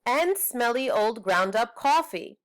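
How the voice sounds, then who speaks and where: A teasing little boy in a storybook, played by a woman reader. He is contrary and cheeky, pitched high, always needling. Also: animated, slow pace. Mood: complaining.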